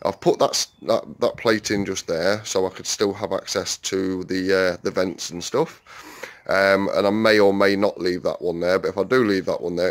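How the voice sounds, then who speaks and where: Low-voiced man rambling on his allotment. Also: no room sound. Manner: chatty, rambling, many "um"s and asides to viewers. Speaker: allotment vlogger. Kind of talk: casual walk-around vlog. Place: outdoors on an allotment and inside a greenhouse. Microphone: handheld phone camera, filming while walking.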